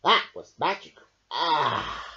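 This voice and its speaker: A man's voice: a little high, big pitch swings, slow pace, pushed and bright, full character voice. A man voicing a hopeful, timid male hedgehog in a storybook, in a dull lo-fi recording. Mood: fretful. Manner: hopeful, fretful.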